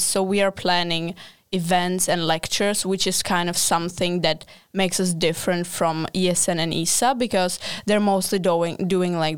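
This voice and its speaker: A woman's voice: on the low side, smooth, no echo, clean studio sound. A young woman, answering casually as a student guest in an interview. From Czech Republic, Prague. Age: young adult. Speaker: podcast guest, student board member.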